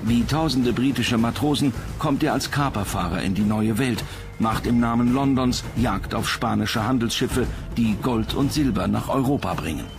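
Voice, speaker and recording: A man's voice: medium pitch, normal speed, vocal fry. A man reading scripted documentary narration as the narrator. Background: music behind the voice.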